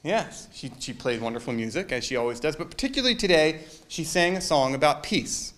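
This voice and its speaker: Male minister, fairly high voice, chatty sermon with jokes and audience quizzes. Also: lively intonation, fairly quick, a bit bright. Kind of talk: casual sermon to a congregation.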